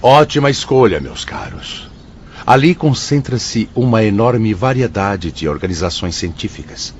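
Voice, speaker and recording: Brazilian radio play spirit director, kindly but commanding, very animated pitch, muffled recording w faint noise. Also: male voice, unhurried. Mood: solemn authority. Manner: formal, declamatory. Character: solemn spirit director of an afterlife institute, authoritative, benevolent. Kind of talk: Brazilian religious radio drama acting. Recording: dull lo-fi audio, faint noise.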